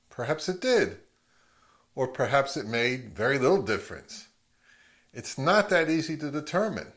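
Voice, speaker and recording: A man narrating a casual product review in a measured, wry and mildly critical manner. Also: medium pitch, fairly bright tone.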